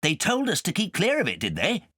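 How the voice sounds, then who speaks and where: A man's voice: high voice, huge pitch swings, fairly quick, fairly bright tone, slightly rough, no room sound, studio quality, pitched above the performer's own voice, exaggerated voice. A man voicing a friendly, knowing witch's cat in a storybook, chatty and matter-of-fact. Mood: brisk, friendly.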